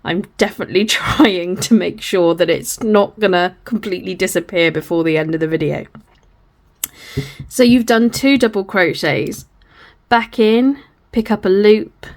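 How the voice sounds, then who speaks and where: A hoarse woman, patiently talking through a crochet tutorial step by step, often apologising as she goes. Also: fairly low pitch. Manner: patient, apologetic, talks through each hand movement.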